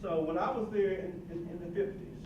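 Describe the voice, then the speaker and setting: A man's voice: sits high, a little fast, dull audio. An older man from Georgia speaking passionately and indignantly in a panel discussion, recorded from afar in an echoey room. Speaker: roundtable participant. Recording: distant echoey room mic with noise.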